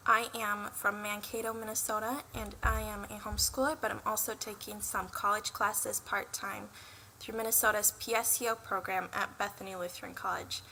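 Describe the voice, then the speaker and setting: A teenage girl, a homeschooled student, giving a clear, composed self-introduction. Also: medium pitch, pushed and bright, a little hiss or hum, low-quality recording. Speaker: student speaker.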